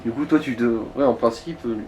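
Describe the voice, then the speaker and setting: A man's voice: mid-range voice, steady tone, a little fast, darkish tone. A man commentating a video game in casual chat, blunt and slangy with some swearing. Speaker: let's play co-commentator. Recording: distant mic with faint noise.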